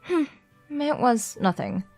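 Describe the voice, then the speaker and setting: A woman's voice: on the high side, wildly swooping pitch, takes it slowly, a little mellow, faint music behind, full character voice. A young woman playing a confident, pushy female CEO who teases her junior in a manga dub romance. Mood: playful, fond. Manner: straightforward, teasing, self-assured.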